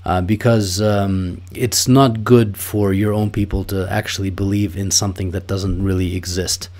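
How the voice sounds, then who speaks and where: A low-voiced man from Russia, talking as an interview guest. He gives his opinions at length, pausing on fillers and restarting his sentences as he goes. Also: steady tone, a little mellow. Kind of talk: casual interview conversation.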